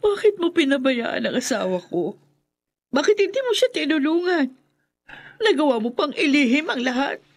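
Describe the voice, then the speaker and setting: An actress with a Filipino accent playing an anguished, bereaved wife in a melodramatic radio horror drama, her voice husky. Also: sits high, big pitch swings, normal speed. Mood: accusing.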